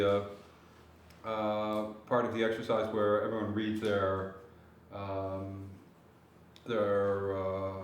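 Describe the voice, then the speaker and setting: A man leading a writers' workshop in an informal, halting and polite way. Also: fairly low pitch, recorded from a distance. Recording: very echoey room with faint noise.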